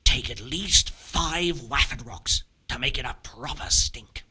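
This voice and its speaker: An actor playing a scheming, vengeful criminal who hands out shady jobs in a medieval video game, sly and scornful. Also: male voice, mid-range voice, lively intonation, slow, sharp tone, breathy edge, full character voice.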